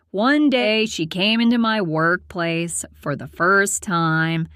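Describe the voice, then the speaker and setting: A woman playing a prim, aloof accountant in her late twenties who narrates her own story in a deadpan, formal way. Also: medium pitch, no room sound, clean studio sound. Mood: matter-of-fact. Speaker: host and narrator of a character story series. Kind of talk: scripted first-person storytelling.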